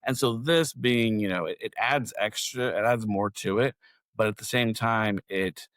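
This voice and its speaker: A man's voice: lowish voice, moderate pace, a bit bright, no echo. A man co-hosting a podcast, chatting casually and informally. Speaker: podcast co-host.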